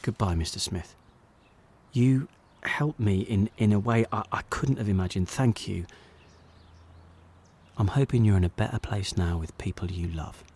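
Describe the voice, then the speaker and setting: A regretful, sincere man played by an actor speaks in a low register, as narrator of an audio drama. He is reflective and halting, with plain words. There is audible noise around his voice. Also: a little mellow, close to an everyday voice. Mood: tender, awkward. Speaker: actor narrating as lead character.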